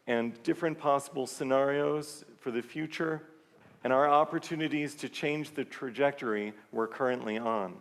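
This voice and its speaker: A man leading a church service, giving a sermon-style reflection to the congregation in an earnest, measured way. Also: fairly high pitch. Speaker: service leader. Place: large church sanctuary. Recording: long reverb of a large church hall.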